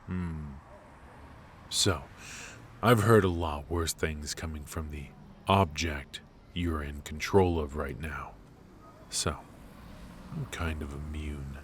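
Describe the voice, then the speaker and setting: Very deep, slow, smug mafia boss played by a man. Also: slight background noise, exaggerated voice. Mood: amused, wry. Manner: slow, smug, teasing, with drawn-out pauses. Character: brilliant, ruthless, teasing male mafia boss, smugly flirtatious. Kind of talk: noir ASMR roleplay acting.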